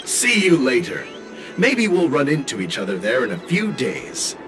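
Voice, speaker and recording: A man's voice: mid-range voice, huge pitch swings, normal speed, music in the background. A man playing an eager, friendly young tourist merchant in an anime-style video game. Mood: enthusiastic.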